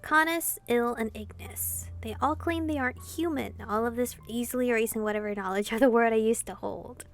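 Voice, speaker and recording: A woman's voice: a little high, brisk, few pauses, soft background music. A woman gamer reading a visual novel aloud expressively, in the style of a casual playthrough.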